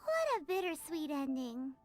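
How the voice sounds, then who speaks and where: Tiny chirpy fairy companion, very high and squeaky, bouncy lively pitch, faint game music behind. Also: female voice, moderate pace. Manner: chirpy, quippy. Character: small excitable floating fairy companion.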